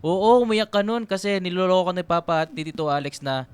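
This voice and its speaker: An actor playing an easygoing young man in a radio drama, casual and gently teasing. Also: high-pitched, expressive, fast talker, clean tone, dry recording.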